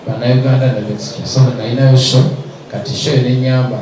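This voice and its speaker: A man's voice: mid-range voice, fairly even intonation, a little fast. A Ugandan man, a musician, answering press questions humbly, switching between Luganda and English. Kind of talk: mixing Luganda and English in an interview. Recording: distant mic, echoey noisy room.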